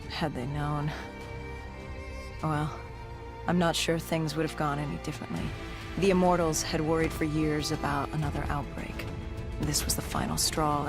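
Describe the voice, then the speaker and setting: An actor playing a grim, battle-worn soldier in a video game, recounting an apocalypse in a grave, halting, deliberate way. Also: medium pitch, close to an everyday voice. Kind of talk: dramatic cinematic narration.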